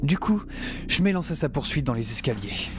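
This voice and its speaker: A man's voice: medium pitch, very animated, moderate pace, music in the background, lightly acted. A man playing a wry, self-mocking survivor who narrates his misadventures in an audio serial with sardonic humour.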